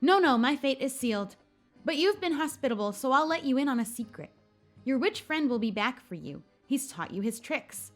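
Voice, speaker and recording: A woman's voice: high-pitched, sing-song, normal speed, faint music behind. Woman playing a theatrical brooding masked rogue. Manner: dramatic, taunting, flamboyant. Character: theatrical brooding masked male intruder, roguish and doom-laden.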